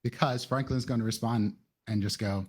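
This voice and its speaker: A man playing a tabletop role-playing game, improvising casually in and out of character with a husky voice. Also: medium pitch, steady tone, normal speed, a little mellow.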